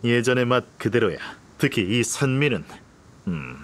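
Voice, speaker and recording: An actor playing a condescending older aristocrat in a video game, with formal, lordly phrasing and a pompous manner. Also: male voice, medium pitch, lively intonation, moderate pace, a little hiss or hum, lightly acted. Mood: pleased, savoring. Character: haughty, pompous older aristocratic man, condescending yet easily flattered.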